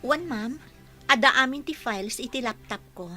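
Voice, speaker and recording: A woman's voice: fairly high pitch, normal speed, sharp tone, soft background music. A woman with an Ilocano accent playing a determined, earnest young employee in a radio drama. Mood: confident.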